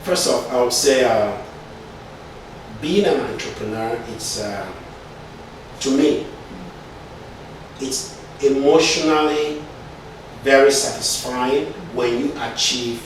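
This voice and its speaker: A middle-aged man from Cameroon, speaking as an IT consultant and entrepreneur. He pauses often, restarts his sentences and checks in with "right?". His voice is distant and bounces around a very echoey room with faint background noise. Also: mid-range voice. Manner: hesitant, many fillers and restarts, frequent "right?". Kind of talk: interview answers.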